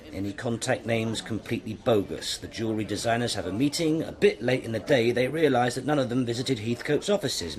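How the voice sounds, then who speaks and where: An actor playing a stern British senior detective in a radio drama, with a clipped, commanding delivery. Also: male voice, medium pitch, natural voice. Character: stern, authoritative male senior police detective briefing his team. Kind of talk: BBC radio drama acting.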